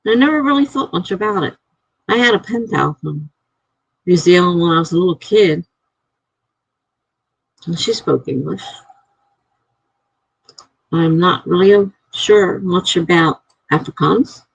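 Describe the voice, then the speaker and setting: A gravelly, slightly husky woman chats slowly through a live stream and reads out her viewers' comments. She laughs often between comments. Her voice sits dry and close to the mic. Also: lowish voice, expressive, dry recording, highs cut off.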